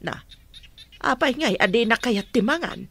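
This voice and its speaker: A woman's voice: on the high side, animated, unhurried, fairly bright tone, full character voice. A woman playing a meddling, mocking middle-aged in-law in a radio drama. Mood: mocking, prying. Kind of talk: Ilocano radio drama acting.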